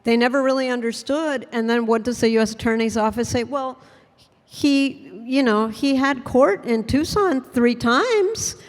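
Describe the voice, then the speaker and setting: A woman's voice: on the high side, measured pace. Earnest woman panelist in a reverberant hall. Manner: earnest, thoughtful, with frequent fillers. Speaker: federal public defender panelist. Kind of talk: panel discussion answer. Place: conference hall.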